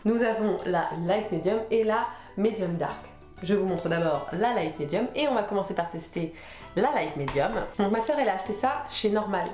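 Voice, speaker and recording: Female beauty vlogger, informal tutorial chat, medium pitch, stuffed up with a cold, echoey room sound. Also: animated, moderate pace, a little mellow. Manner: chatty, informal, talking while applying makeup. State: sniffly with a head cold. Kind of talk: casual makeup tutorial chat. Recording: roomy echo with music behind.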